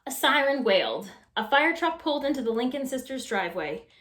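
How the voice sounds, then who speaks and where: A woman reads a children's storybook aloud in a high, expressive voice. The room around her adds a clear echo, as at a storytime session. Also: fairly quick. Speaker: storytime reader. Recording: roomy echo.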